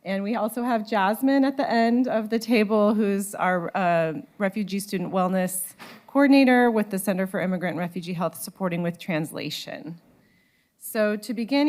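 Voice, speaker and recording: Woman moderator, mid-pitched, steady, some restarts, conference setting. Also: normal speed. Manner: organized, with frequent restarts and fillers. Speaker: panel moderator. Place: conference room. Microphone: handheld microphone.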